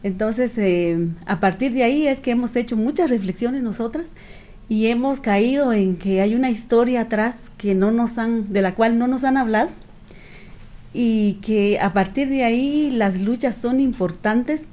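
A middle-aged woman with a Guatemalan accent giving earnest, reflective interview answers in a measured way, with pauses. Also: on the high side, dark tone. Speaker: activist guest. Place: small radio studio with several people. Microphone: studio microphone on stand.

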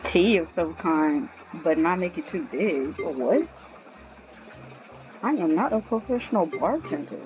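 A woman playing a video game, casually muttering and thinking aloud in a halting way over game music. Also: on the low side, expressive, a little mellow, dull audio.

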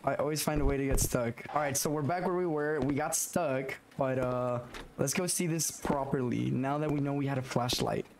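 A young man with a hoarse, raspy voice from being sick, talking through a horror game and reacting to scares. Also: mid-range voice, fairly even intonation, moderate pace, hardly any pauses, background noise. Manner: jumpy, swearing, talks to himself while playing. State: hoarse from illness. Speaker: gaming YouTuber. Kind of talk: horror game let's play commentary. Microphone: headset.

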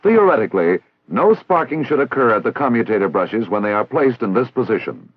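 Male narrator, American, for vintage 1960s instructional film; formal and didactic, husky edge, very dry lo-fi sound. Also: mid-range voice, sing-song, fairly quick, dry recording. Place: recorded voice-over.

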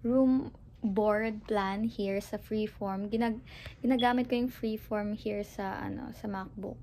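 A woman's voice: mid-range voice, steady tone, a little slow, darkish tone, a little hiss or hum. A young woman from the Philippines with a Filipino accent, chatting casually in a home vlog and mixing Tagalog with English. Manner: chatty, switching between Tagalog and English mid-sentence. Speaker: vlogger. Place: apartment at home.

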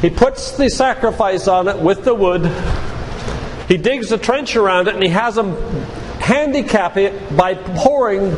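Older male lay preacher, high-pitched, instructive storyteller. Reverberant church hall recording with noticeable noise. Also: lively intonation, unhurried. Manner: didactic storyteller, addresses listeners directly. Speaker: physician giving a guest talk at a church. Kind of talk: sermon-like lecture retelling Bible stories. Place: large room, church.

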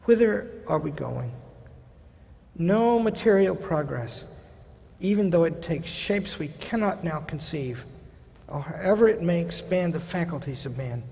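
A man in his sixties, a college president, gives a seminar lecture from a podium, conversational with wry asides and slowing for quotations. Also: on the high side, expressive, creaky, warm tone. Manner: conversational, wry asides, slows down for quoted passages. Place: large lecture hall stage at a podium. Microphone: podium microphone. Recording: hall reverb.

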